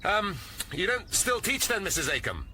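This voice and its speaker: An actor playing a gruff, awkward, erudite police inspector in a radio drama. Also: male voice, high-pitched, big pitch swings, moderate pace, sharp tone, heavily acted. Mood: polite, awkward. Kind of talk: naturalistic radio drama acting. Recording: very noisy location ambience.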